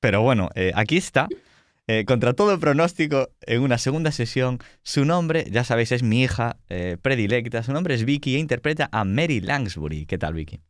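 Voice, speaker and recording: Man, Spanish accent from Spain, game master and host, average pitch, teasing casual banter, dry recording. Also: very animated, fairly quick, no room sound. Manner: teasing, mock-boastful banter. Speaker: host and game master of a tabletop roleplay show. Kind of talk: casual joking introduction of players on a livestream.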